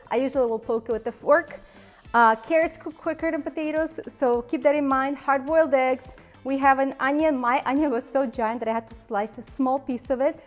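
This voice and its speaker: A woman in her thirties from Ukraine with a Ukrainian accent, chattily hosting a cooking demonstration and laughing at her own slips. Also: high-pitched. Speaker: cooking show host.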